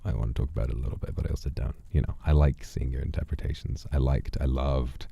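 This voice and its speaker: A man with an extremely low, very dark and mellow voice muses to his livestream audience. He is soft-spoken and sincere, taking his time and often sinking into a breathy, gravelly murmur. The audio is slightly dull and muffled. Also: fairly even intonation, fast talker. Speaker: livestream host. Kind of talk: casual livestream chat, reflective.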